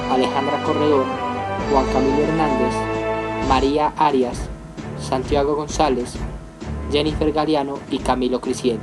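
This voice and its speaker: A young man hosting and narrating a scripted educational radio program. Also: fairly high pitch. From Colombia. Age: young adult. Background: loud music.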